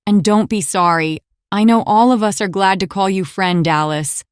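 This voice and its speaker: Woman acting as female warplane, steady veteran friend, kind, reassuring, gentle, animated drama, mid pitch, dry. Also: normal speed, clear, resonant tone, dry recording, close to an everyday voice.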